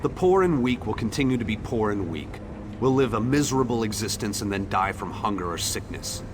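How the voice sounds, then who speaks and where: Defiant, embittered younger man, acted by a man: low register, very mobile intonation, blunt, fantasy game. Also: animated, brisk, noisy background, lightly acted. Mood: sardonic. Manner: blunt, scornful. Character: bitter, defiant younger man, determined skeptic. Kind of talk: AI-voiced fantasy game dialogue.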